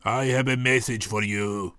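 A man's voice: lowish voice, fairly even intonation, moderate pace, pushed and bright, dry recording. A man playing a cold, menacing robber.

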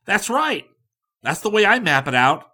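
A man voicing an eager, pushy, boastful man in a hurry who talks in an excited, loud rush. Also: sits high, normal speed, pitched above the performer's own voice, full character voice.

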